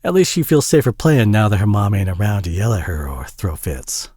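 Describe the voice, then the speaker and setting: Low-voiced, flustered Southern single dad, acted by a man. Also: big pitch swings, brisk, a little mellow, slightly rough, no echo, studio quality. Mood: weary, fond. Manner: folksy, hesitant, chuckling drawl with pet names. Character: warm, flustered, tired Southern single dad, gently flirty. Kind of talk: intimate audio roleplay drama.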